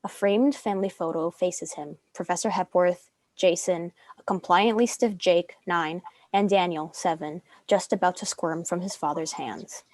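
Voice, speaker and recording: A woman narrates a screenplay, reading out stage directions. Her voice is on the low side, and the recording is dry with almost no room sound. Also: normal speed, hardly any pauses, a little mellow, dry recording. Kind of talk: scripted narration.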